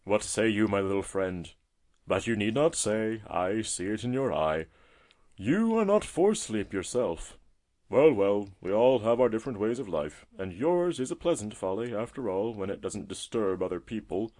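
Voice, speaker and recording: A man's voice: lowish voice, expressive, moderate pace, darkish tone, lightly acted. A man voicing a testy but friendly old male tortoise in a storybook, chatty and avuncular, speaking emphatically. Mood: gleeful, chatty. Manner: emphatic, chatty, avuncular, fond of tag questions. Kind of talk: storybook character voice.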